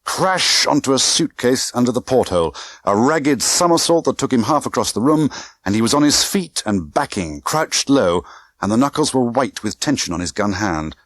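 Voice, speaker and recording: A man's voice: medium pitch, animated, moderate pace, fairly bright tone, no echo. A man reading a thriller audiobook in a tense, theatrical manner with clipped short phrases. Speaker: audiobook narrator. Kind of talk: dramatic scripted narration of a spy thriller novel.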